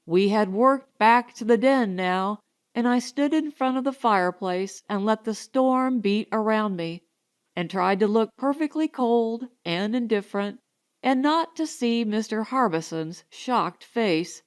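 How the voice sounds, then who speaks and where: Woman, audiobook narrator, scripted first-person storytelling, fairly high voice, very unhurried. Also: animated, smooth.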